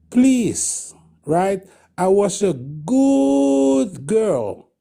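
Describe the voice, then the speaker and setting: Slow, halting Dutch-speaking man. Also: high-pitched, dark tone. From Guyana. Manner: halting, searching for words, many fillers. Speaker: caller. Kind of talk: call-in radio chat.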